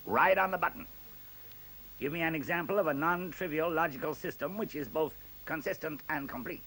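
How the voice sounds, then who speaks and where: A man's voice: fairly high pitch, a little fast, sharp tone, poor audio. A male actor playing an arrogant, sceptical professor in a radio play, with a precise and pompous manner. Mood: dismissive, jaunty. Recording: faint hiss on radio play recording.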